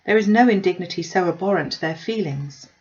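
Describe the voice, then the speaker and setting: A woman's voice: fairly low pitch, fairly quick, darkish tone, muffled recording, close to an everyday voice. A woman voicing a witty, spirited young woman in a novel reading, articulate and pointed. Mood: indignant.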